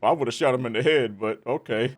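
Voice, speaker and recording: A man's voice: medium pitch, a little fast, soft background music. A man streaming a game, giving casual reaction commentary in a slangy, joking, incredulous way.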